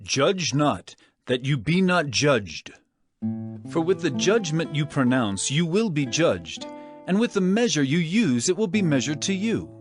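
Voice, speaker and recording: A man playing an earnest, solemn male teacher with authority in a dramatized scripture reading, speaking in a measured, emphatic way. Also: a little high, very animated. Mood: admonishing. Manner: measured, emphatic, rhetorical questioning. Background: music.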